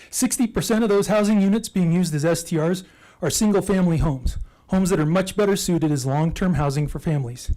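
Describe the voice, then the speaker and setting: Measured older man reading a public comment. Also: a little high, dark tone, low-quality recording. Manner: measured, persuasive, data-driven appeal.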